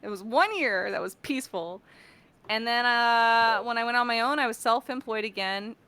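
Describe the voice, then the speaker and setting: A woman chatting casually as a guest, telling stories in an animated way and often interrupting herself. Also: on the high side, moderate pace, smooth. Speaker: guest, freelance game audio professional. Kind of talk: casual chat.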